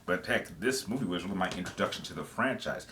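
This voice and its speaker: A man's voice: deep voice, animated, fast talker, a bit bright, a little hiss or hum. A man giving casual, semi-scripted commentary as the host of a gaming video, explaining his opinions conversationally and stressing phrases for emphasis.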